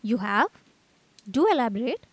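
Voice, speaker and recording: A woman plays an anxious young man, a painter, in a radio comedy. The voice is on the high side, with a hesitant, fretful way of putting things. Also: big pitch swings, moderate pace, slightly rough, no echo. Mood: uncertain. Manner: hesitant, fretful, period slang. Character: anxious young male painter seeking help from a friend.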